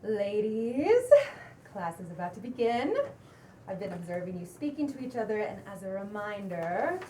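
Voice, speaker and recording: A woman's voice: mid-range voice, sing-song, warm tone, lightly acted. Woman acting a creepy intense instructor, echoey room. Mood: quietly menacing. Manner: measured, formal, menacingly polite. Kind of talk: cold table read of a comedy pilot. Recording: echoey room, distant mic, faint noise.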